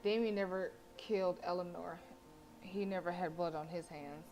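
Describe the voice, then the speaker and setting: A woman game streamer reading visual novel narration aloud, expressive, with casual blunt reactions. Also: fairly low pitch, normal speed, faint music behind.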